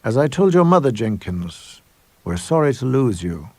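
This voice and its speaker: A man's voice: medium pitch, big pitch swings, normal speed, a little mellow, dry recording, pitched above the performer's own voice. A man voicing a proud, sinister older school headmaster in a supernatural tale, pompous and theatrical in his delivery. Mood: smoothly menacing. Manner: theatrical, declamatory, grandiose.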